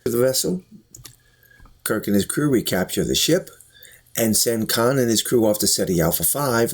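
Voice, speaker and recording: A man speaks in a husky voice with lively swings of pitch. He sounds like an earnest reviewer, laying out his commentary deliberately and explaining each point as he goes. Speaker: YouTube reviewer. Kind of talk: talk-to-camera review and commentary.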